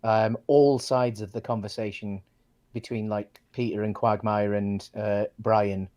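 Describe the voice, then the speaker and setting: A man's voice: on the low side, steady tone, unhurried, darkish tone. A man co-hosting a casual film discussion, thinking aloud in hesitant, circling phrases. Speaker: co-host of a film discussion show.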